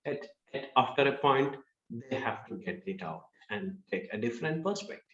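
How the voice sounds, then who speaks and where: A man's voice: medium pitch, expressive, moderate pace, a bit bright, lo-fi sound. A man hosting an interview as a life coach, affirming the guest briefly and summing up his points. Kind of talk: interview chat. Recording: echoey room.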